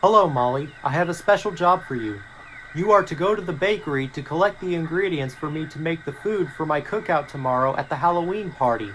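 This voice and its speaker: A man's voice: a little high, expressive, a little fast, a bit bright, a little hiss or hum, poor audio. A man voicing a railway boss in a children's story, formally handing out instructions. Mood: businesslike.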